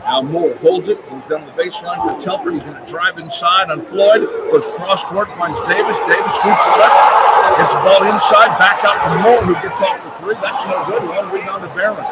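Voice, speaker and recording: High-voiced male basketball announcer over loud crowd. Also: moderate pace. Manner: excitable, clipped running description. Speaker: radio play-by-play announcer. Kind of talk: live basketball play-by-play commentary. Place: courtside in a basketball arena. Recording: loud arena crowd noise. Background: roaring crowd, loud gym noise.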